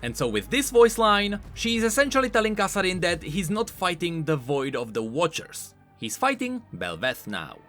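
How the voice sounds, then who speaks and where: Male game lore commentator, extremely animated intonation, slightly high voice, emphatic informal delivery, light music behind. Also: normal speed, few pauses. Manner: emphatic, informal, swooping stresses. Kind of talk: scripted explainer commentary.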